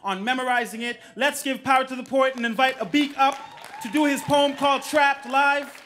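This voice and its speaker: A man's voice: very high voice, steady tone, moderate pace, pushed and bright, a faint crowd. A man in his thirties hosting a poetry showcase live on stage, casual, slangy, playful and encouraging. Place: theatre stage before an audience. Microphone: microphone on a stand, filmed from the audience. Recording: noisy live hall recording with clicks.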